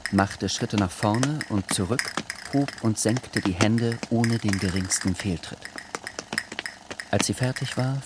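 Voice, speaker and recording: An actor as the composed narrator of a literary radio drama, measured and precise. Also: male voice, on the low side, heavy background noise, lightly acted. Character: composed, observant male storyteller.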